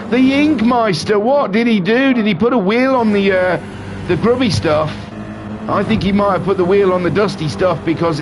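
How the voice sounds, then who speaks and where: A man with a head cold and a nasal voice, excitedly commentating a sim race with jokey, rambling asides. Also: high-pitched, background noise. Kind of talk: live sim racing commentary.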